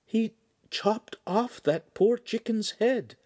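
A man doing a shaken, halting young girl. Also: high-pitched, no echo, clean studio sound, voice pushed up. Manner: halting, flat and dazed. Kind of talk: audiobook character voice.

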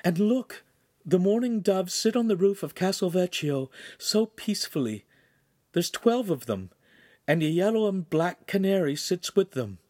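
Man putting on a high, light, excited young girl. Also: unhurried, no room sound, studio quality. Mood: wondering. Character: sweet, wonder-struck teenage Italian girl.

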